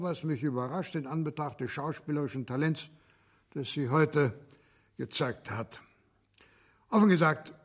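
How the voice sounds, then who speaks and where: An actor playing a stern English judge in a radio drama, delivering a ruling in a formal, deliberate, authoritative manner. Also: male voice, on the high side.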